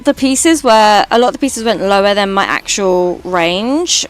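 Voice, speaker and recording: A British woman with a high voice, streaming and chatting casually while gaming, rambling and swearing now and then. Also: animated, unhurried. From Britain.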